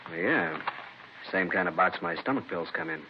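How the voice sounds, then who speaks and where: A man's voice: low-pitched, expressive, moderate pace. An actor playing a stubbornly defensive middle-aged American father in a 1950s radio drama, curt and irritated. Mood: puzzled. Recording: dull, noisy vintage radio recording.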